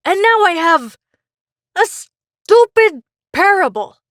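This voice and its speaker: A woman's voice: extremely high pitch, sing-song, takes it slowly, a bit bright, no echo, studio quality, exaggerated voice. A woman playing a bitter, sardonic, brooding caller in an audio drama. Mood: bitter, frustrated. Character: bitter, annoyed female caller searching for meaning.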